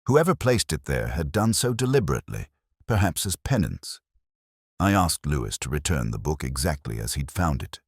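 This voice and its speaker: Very deep-voiced, world-weary male detective played by an actor. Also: very animated, husky, no room sound, clean studio sound, exaggerated voice. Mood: somber, reflective. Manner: measured, solemn, literary phrasing. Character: world-weary, reflective male police inspector narrating his case. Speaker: narrator. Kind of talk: scripted first-person detective audio drama narration.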